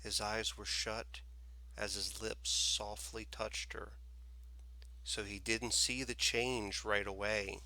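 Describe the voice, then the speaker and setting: A man reading a children's story aloud as expressive scripted narration. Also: fairly low pitch, slow, poor audio. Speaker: storybook reader, teacher.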